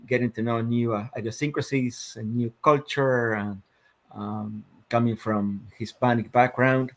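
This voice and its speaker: A man in his fifties from Argentina with an Argentine Spanish accent, a guest telling his life story in a reflective, measured way with many fillers. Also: mid-range voice. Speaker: guest, sports psychologist. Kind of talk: interview storytelling.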